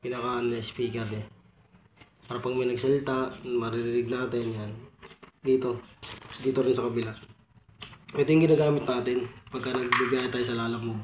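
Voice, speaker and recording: A man's voice: medium pitch, level tone, moderate pace, pauses often, darkish tone. A Filipino man giving a casual, informal vlog-style product walkthrough, talking to viewers like buddies. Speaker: vlogger reviewing motorcycle gear. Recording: echoey room with clear background noise.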